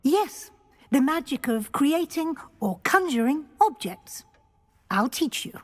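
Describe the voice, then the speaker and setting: A woman playing a kindly, brisk middle-aged witch professor in a video game, instructive and encouraging. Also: high voice, big pitch swings, big-room sound, full character voice. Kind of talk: video game character acting.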